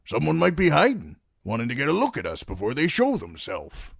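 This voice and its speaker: A man's voice: fairly high pitch, huge pitch swings, a little fast, creaky, breathy edge, dry recording, clean studio sound, pitched above the performer's own voice, heavily acted. A man voicing a stern, commanding woman warrior who speaks in terse, firm orders. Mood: hushed warning.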